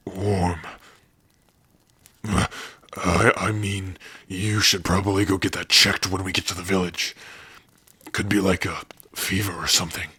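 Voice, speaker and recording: A man voicing a big, gruff but tender orc warrior in an ASMR roleplay, shy and devoted, speaking haltingly and intimately close to the mic. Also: no echo, exaggerated voice. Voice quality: husky, breathy low voice. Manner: slow, halting, softly intimate. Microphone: close dry microphone.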